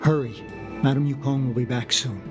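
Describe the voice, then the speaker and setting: An actor playing a courteous, wistful long-lived subordinate in a video game, speaking in a formal, measured way. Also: male voice, medium pitch, steady tone, close to an everyday voice. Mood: discreet, polite. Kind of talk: video game character voice acting. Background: loud music.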